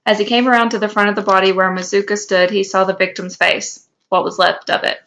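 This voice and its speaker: A woman's voice: mid-range voice, level tone, fairly quick, a bit bright. A woman co-hosting a podcast, reading a story aloud and now and then stumbling over a word. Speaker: podcast co-host. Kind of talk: reading fan fiction aloud.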